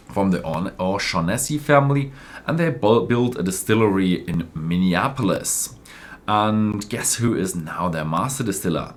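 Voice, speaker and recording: Casting: male presenter, deep-pitched voice, for informal news updates with a slightly halting, self-correcting delivery.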